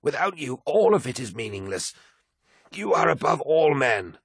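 A man voicing a devoted, frail male equerry in an audiobook, raspy, reverent and formally deferential. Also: mid-range voice, lively intonation, normal speed, sharp tone, no room sound, clean studio sound, voice pushed up, full character voice. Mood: fervent praise. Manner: deferential, fervent, formal address.

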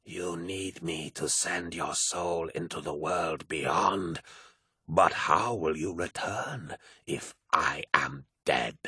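A cryptic old priestess, as a male narrator puts her on, her voice a rasping, breathy hush that drags slowly. It is deep yet bright-edged and menacing, and sounds recorded close and dry. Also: no room sound, full character voice. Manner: slow, low and cryptic. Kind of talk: audiobook character voice.

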